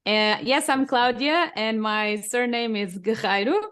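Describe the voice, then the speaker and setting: Woman from Portugal, Portuguese native, smooth clear voice, enthusiastic podcast guest. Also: a little high, measured pace, a bit bright. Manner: enthusiastic, laughs often. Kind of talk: casual interview chat.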